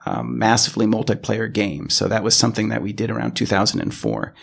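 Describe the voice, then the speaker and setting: An American man answers interview questions in a low, gravelly voice full of fry. He explains in a conversational way, thinking as he goes and often restarting his phrases. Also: sing-song, brisk, fairly bright tone. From California, United States. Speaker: podcast guest, product engineer.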